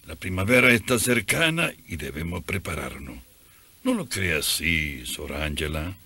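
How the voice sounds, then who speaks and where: Deep husky priest. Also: male voice, very animated, a little slow, bright, forceful tone, exaggerated voice. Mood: teasing, instructive. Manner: chuckling, emphatic, repeats words for effect. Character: genial, teasing Italian missionary priest leading nuns. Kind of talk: radio drama acting.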